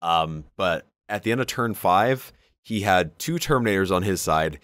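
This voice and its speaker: Deep voiced guy on a podcast, dry close sound, casual explaining, bit sweary. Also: animated, normal speed, a bit bright, dry recording. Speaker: podcast co-host. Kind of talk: casual chat between friends.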